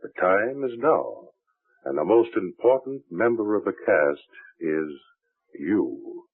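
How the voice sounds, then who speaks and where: A man hosting a radio suspense series, narrating in a solemn, ominous and deliberate way. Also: low-pitched, lively intonation, breathy edge, no room sound, heavily acted. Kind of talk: dramatic scripted radio narration. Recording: roomless tinny old radio broadcast.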